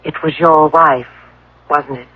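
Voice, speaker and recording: A woman's voice: low voice, steady tone, a little slow, no room sound. In a dry, muffled radio play, a determined woman held captive. She is persuasive and probing, pressing every point with coaxing insistence. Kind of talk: radio drama acting. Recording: dull, dry lo-fi radio broadcast.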